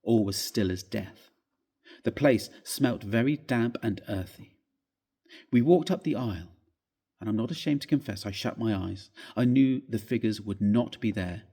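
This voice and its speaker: Male storyteller for Gothic horror, dark and husky, theatrical delivery with expressive, deliberate phrasing. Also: on the low side. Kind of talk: dramatic reading of a Gothic ghost story.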